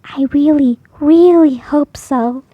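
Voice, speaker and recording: A woman's voice: sits high, takes it slowly, no room sound, highs cut off. A woman voicing an eager, dreamy, earnest little girl in a children's storybook. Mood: earnest, hopeful.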